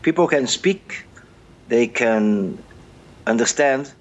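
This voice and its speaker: A middle-aged man with a Brazilian Portuguese accent, a journalist answering interview questions in a halting, earnest way, often repeating words as he searches. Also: medium pitch, animated, highs cut off. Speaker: journalist guest.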